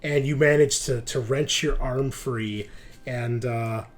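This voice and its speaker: Male game master, mid-pitched voice, loose colloquial tabletop narration. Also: fairly even intonation, slow. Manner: casual, colloquial, swearing.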